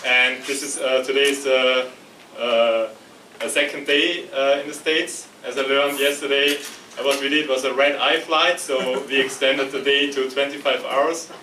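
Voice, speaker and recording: A man's voice: mid-range voice, steady tone, bright, forceful tone. Jet-lagged man presenting in an echoey room. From Austria. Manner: halting, many fillers and restarts. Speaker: conference presenter. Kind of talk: tech meetup presentation. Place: meetup room. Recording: echoey room, distant mic, faint noise.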